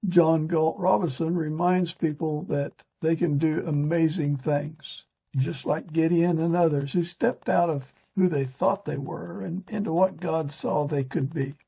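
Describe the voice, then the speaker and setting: An older man hosting a podcast, reading scripted narration from a teleprompter in a stiff, halting way. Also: a little high, steady tone, creaky, warm tone, husky. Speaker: podcast host. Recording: dull, lo-fi sound.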